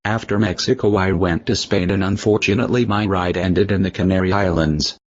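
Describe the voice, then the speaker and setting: A man's voice: deep voice, steady tone, brisk, dry recording, lo-fi sound. A man giving scripted interview answers in a stiff, recited way as an unrepentant convict.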